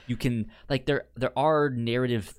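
A man's voice: medium pitch, fairly even intonation, moderate pace, smooth, no echo. Man with a stutter, chatting casually. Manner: stuttering, repeating sounds and words. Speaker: podcast co-host. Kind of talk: casual chat about TV sitcoms.

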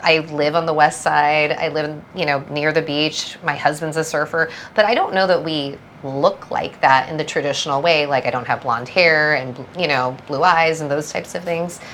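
A woman's voice: low voice, fairly even intonation, moderate pace, few pauses, fairly bright tone, slight background noise. A woman from Southern California, a guest brand founder, talking reflectively in a casual podcast interview and thinking aloud.

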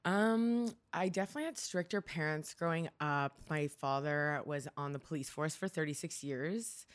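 A woman with a Canadian accent speaks in a low register. She talks as a guest in an interview, recounting personal experiences candidly and reflectively, often checking in with "you know". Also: moderate pace. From Ontario, Canada.

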